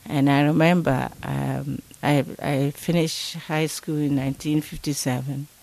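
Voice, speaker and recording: Slow, gravelly older Ghanaian-born woman. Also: deep voice, darkish tone. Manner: slow, thoughtful, many pauses and fillers. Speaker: interview guest. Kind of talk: interview, recalling childhood.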